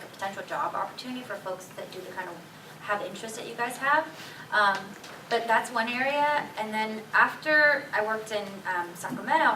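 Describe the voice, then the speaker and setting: A woman's voice: medium pitch, sharp tone. A woman speaking as a panelist in conversational tones, hedging and saying "like" often. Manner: conversational, hedging, says "right" and "like". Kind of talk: casual panel talk. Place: conference hall. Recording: echoey hall from far off, noisy.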